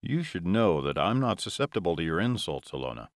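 A man's voice: sits low, sing-song, a little fast, no echo, studio quality. A man voicing a nonchalant, unflappable male vampire in an audiobook, curt and threatening. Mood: cool warning.

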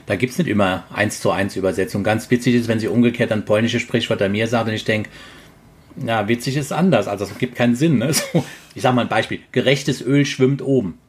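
A man's voice: on the low side, brisk. A man talking casually as a guest, explaining things at length and often chuckling. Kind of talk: casual conversation.

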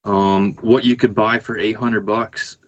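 A man hosting a podcast in casual chat, informal and a little halting as he works through details. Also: on the low side, flat delivery, dull audio. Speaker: podcast host.

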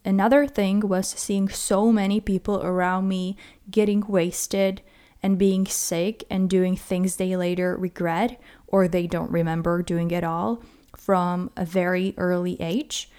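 A young adult woman from the Czech Republic, hosting a solo podcast and talking in a candid, reflective and measured way. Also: lowish voice, little pitch movement, mellow, smooth. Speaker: podcast host. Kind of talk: personal solo podcast talk.

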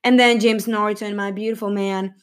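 A woman's voice: mid-range voice, steady tone, normal speed. A young woman vlogging casually about a film's cast, rambling and giggly, with lots of fillers and self-corrections. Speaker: film vlogger.

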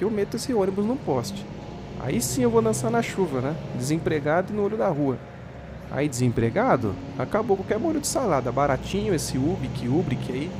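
Animated, grumbling Brazilian bus driver played by a man. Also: mid-range voice, natural voice. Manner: colloquial, teasing, grumbling. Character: chatty, grumbling working-class city bus driver, married man. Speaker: actor in an audio drama. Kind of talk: naturalistic Brazilian audio drama dialogue. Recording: clear vehicle and street noise.

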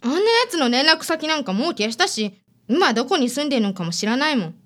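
A woman's voice: high-pitched, lively intonation, fast, a bit bright. A woman playing a casual, stubborn young female client in a radio drama. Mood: bitter.

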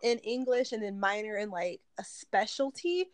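A woman's voice: medium pitch, big pitch swings, moderate pace, no room sound. A woman in her thirties from Detroit, hosting her own interview show in a chatty, upbeat way. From Detroit, USA. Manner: chatty, upbeat, light laughs. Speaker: podcast host. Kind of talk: casual interview chat.

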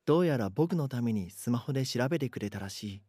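A man's voice: medium pitch, lively intonation, fast, mellow, husky, dry recording, studio quality. A man playing an anxious schoolboy who narrates an eerie mystery in an audio drama. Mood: uneasy, brooding.

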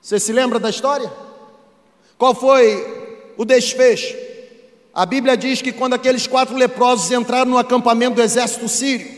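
A man's voice: very high voice, steady tone, normal speed. A man with a Brazilian accent preaching a sermon to a congregation, speaking emphatically and repeating phrases for rhetorical effect. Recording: echoey hall with long reverb.

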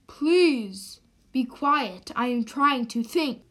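Young woman playing a smug, slow-talking young man. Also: high-pitched, lively intonation, fairly bright tone, heavily acted. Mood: smug, impatient. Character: self-assured, vain young man from a magic town. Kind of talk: amateur radio drama acting.

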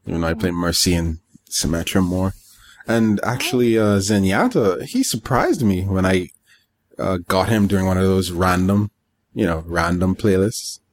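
Low-voiced man chatting offhandedly. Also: lively intonation, normal speed. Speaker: podcast co-host. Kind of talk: casual chat about games and manga.

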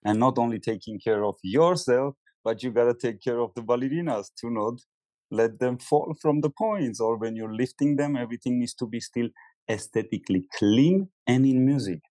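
A man in his forties, a former dancer, speaking as a podcast guest in earnest, halting English. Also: medium pitch, dark tone, dry recording. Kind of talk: casual interview talk.

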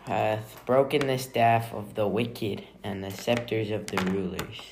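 Very low, lively, slow-talking woman; noisy home take. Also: creaky. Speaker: family member. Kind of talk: casual family discussion.

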